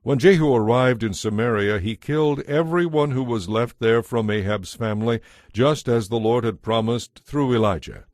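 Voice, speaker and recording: A man's voice: mid-range voice, lively intonation, normal speed, a little mellow, no room sound, clean studio sound. A man giving scripted narration for a dramatized audio Bible.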